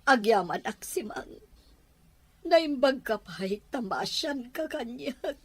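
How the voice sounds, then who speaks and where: Woman playing a battered Ilocano wife and fearful mother for audio drama; swooping, emotional delivery, slightly rough voice. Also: a little high, lively intonation, slow, a bit bright, full character voice. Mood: resigned. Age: middle-aged. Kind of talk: Ilocano radio-style drama acting.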